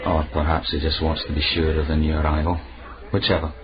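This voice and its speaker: An actor playing a worldly, genial gentleman companion with an assured, advisory manner in a period radio drama. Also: rumbling low, completely flat delivery, fast talker. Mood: confiding. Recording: muffled radio broadcast, crowd behind.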